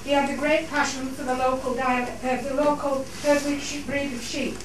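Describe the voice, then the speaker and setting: A woman's voice: high-pitched, level tone, normal speed. A woman host giving a formal welcome and introduction to an audience in a theatre. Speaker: society host introducing a play. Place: theatre, on stage. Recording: echoey hall, distant mic, noisy.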